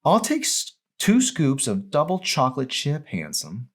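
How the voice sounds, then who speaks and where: Man voicing a haughty teen girl, pitched way up. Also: wildly swooping pitch, a little slow, husky, exaggerated voice. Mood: coy. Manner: breezy, dismissive, coy.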